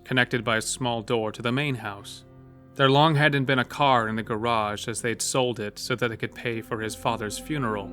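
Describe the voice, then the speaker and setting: A man narrating a scripted horror story as the storyteller of an audio drama. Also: medium pitch. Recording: music bed under the voice. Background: loud music.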